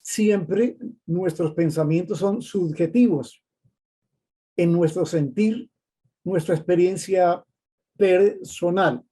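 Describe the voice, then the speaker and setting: A middle-aged man with a Colombian accent from Cali leads a training lecture, speaking high in his range. His tone is piercingly bright and edged. He goes unhurriedly and repeats key terms as if dictating notes. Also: takes it slowly, pauses often, slightly rough. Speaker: course instructor.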